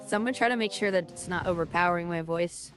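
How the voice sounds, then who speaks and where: A woman talking through her game as a streamer, rambling and filling her talk with "like" and restarts, with a loud voice. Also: on the low side, quick pace, faint music behind. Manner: rambling, lots of fillers and restarts. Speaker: gaming streamer. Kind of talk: casual game commentary.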